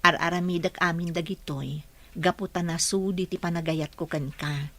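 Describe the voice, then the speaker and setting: A woman's voice: on the low side, fairly even intonation, normal speed, pushed and bright. A woman with an Ilocano accent playing a devoted, caring wife in a radio drama. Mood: caring, earnest. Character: devoted, caring wife nursing ailing husband.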